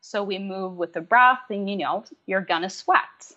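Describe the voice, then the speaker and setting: A Norwegian-born woman who teaches yoga, speaking at a middling pitch in a thoughtful, halting way, with frequent ums as she gathers her words. The audio is a little dull and lo-fi. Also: pushed and bright. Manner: reflective, many ums and hesitations. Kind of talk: casual podcast conversation.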